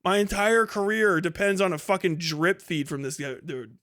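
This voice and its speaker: Guy streamer doing scornful sweary commentary, pretty high bright voice. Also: moderate pace. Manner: exasperated, scornful, heavy swearing. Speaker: livestream host reacting to a debate. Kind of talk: casual profane commentary.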